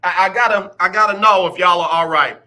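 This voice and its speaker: A man's voice: high-pitched, fairly quick, pushed and bright. A man hosting a casual sports talk radio show, teasing listeners with rhetorical questions. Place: radio studio.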